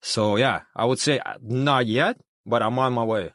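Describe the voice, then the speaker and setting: A man's voice: mid-range voice, normal speed, heavy background noise. Rambling man. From Russia. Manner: rambling, many restarts and "you know what I'm saying". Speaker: guest, documentary filmmaker. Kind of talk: casual interview talk.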